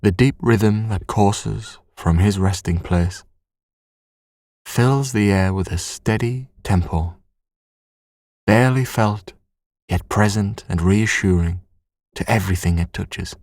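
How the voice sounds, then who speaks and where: Very slow, low-voiced man telling bedtime stories. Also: no echo, studio quality. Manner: soothing, measured, hushed bedtime delivery. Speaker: bedtime story narrator. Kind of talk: guided sleep story, scripted narration.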